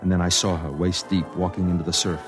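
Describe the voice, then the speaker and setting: A low-pitched American man acted as a brooding, lonely reporter in his thirties, confessing his story in a vintage radio drama. His delivery is measured and sensitive, with music playing clearly behind him. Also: flat delivery, close to an everyday voice. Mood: intense, haunted. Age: 30s. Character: lonely, sensitive thirty-one-year-old newspaper reporter and secret poet. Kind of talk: 1950s radio drama narration.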